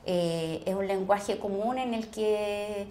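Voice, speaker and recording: A Chilean woman speaks at a medium pitch in casual, reflective conversation. She feels her way through phrases with halting pauses. The room is audibly echoey, with faint noise behind her. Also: warm tone. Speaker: actress guest on a podcast. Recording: roomy echo, faint background noise.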